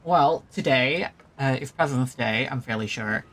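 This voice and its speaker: A game streamer giving casual livestream commentary, talking in a halting way that trails off. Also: mid-range voice, fairly bright tone. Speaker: streamer, host.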